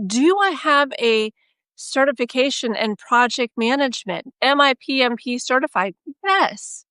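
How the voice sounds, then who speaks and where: A woman with a Texas accent co-hosting a casual chat, animated and self-mocking as she tells stories. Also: high-pitched, a little slow, clean tone, no echo. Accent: Texan. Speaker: podcast co-host.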